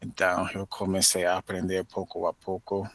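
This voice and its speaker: An American man from Maryland, a native English speaker, answering interview questions in a careful, measured way with frequent pauses as he searches for words. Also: sits low, completely flat delivery, a bit bright, dry recording. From United States (Maryland). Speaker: interview guest, Portuguese learner. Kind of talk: podcast interview.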